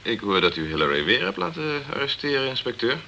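An actor playing a dogged, straight-talking insurance investigator in a Dutch radio crime drama, direct and clipped. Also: male voice, medium pitch, big pitch swings, fast talker, gravelly, pushed and bright, a little hiss or hum, exaggerated voice. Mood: insistent.

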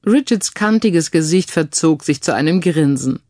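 A woman's voice: low-pitched, animated, normal speed, mellow, no room sound, studio quality. A woman reading scripted crime fiction as an audiobook narrator.

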